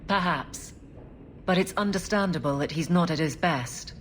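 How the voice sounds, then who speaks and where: A woman playing a stern, dutiful female city guard captain in a video game, speaking in an earnest, firm, measured way. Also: on the low side, a little hiss or hum, natural voice. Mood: dutiful, concerned.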